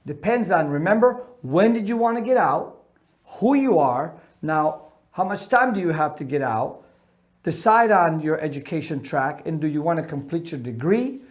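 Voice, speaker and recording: A middle-aged man from Ecuador leads a seminar on leaving the military, his voice sitting a little high for a man. He talks in an earnest, emphatic way, pushing key words loud and then dropping soft. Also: animated, normal speed. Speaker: military transition coach. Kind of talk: seminar talk.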